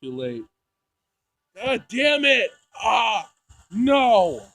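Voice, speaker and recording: A man reads a visual novel aloud as a let's play, in a fairly high voice at a very slow pace. His delivery is halting and emotional, given to dramatic outbursts. The sound is close and dry. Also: sing-song, a bit bright, dry recording. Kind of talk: let's play narration with loud reactions.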